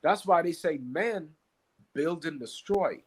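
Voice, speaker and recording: A man from the Bahamas with a Bahamian accent and a slightly husky voice, teaching conversationally and emphatically, repeating key phrases and asking rhetorical questions. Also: a little high, lively intonation, takes it slowly. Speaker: podcast guest, Qigong practitioner and teacher.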